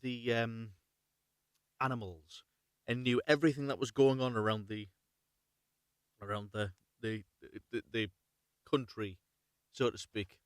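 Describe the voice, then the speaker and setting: A man's voice: mid-range voice, steady tone, measured pace, long pauses, smooth, dry recording. A man hosting a live story reading, chatting casually and reading unrehearsed in a rambling, self-correcting way. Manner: rambling, self-correcting, apologetic about slips.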